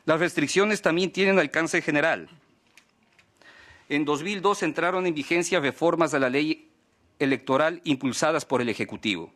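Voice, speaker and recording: Male, voice a little higher than average. Advocate delivering a written statement formally. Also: a bit bright. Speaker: press freedom advocate speaking at a human rights hearing. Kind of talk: formal prepared statement, read aloud.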